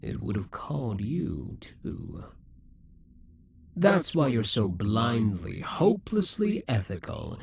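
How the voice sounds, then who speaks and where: A man's voice: on the low side, big pitch swings, slow pace, gravelly, darkish tone, a little hiss or hum, full character voice. A man voicing a proud, possessive male demon king who taunts and schemes, sometimes dropping to a whisper. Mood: seductive menace. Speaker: voice actor in an audio roleplay. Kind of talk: audio roleplay acting.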